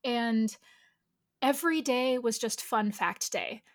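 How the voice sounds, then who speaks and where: Female podcast guest with a lightly high pitch; chatty, explanatory, casual personal storytelling. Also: slow. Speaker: interview guest.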